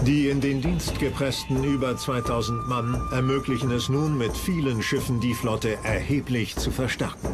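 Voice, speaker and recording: A man's voice: medium pitch, steady tone, moderate pace, hardly any pauses, over loud music. A man reading scripted documentary narration.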